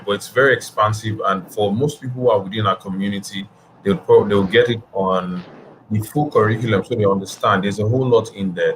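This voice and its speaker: Low-voiced man hosting a video call. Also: a bit bright, slight background noise, low-quality recording. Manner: measured, hesitant, restarts his phrases. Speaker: host. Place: indoor room at home.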